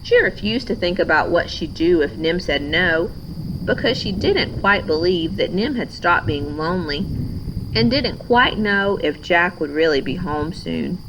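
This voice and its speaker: A woman reading a children's storybook aloud in a measured, expressive way. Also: on the low side, noisy background. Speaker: storybook reader. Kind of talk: scripted children's story narration, read aloud.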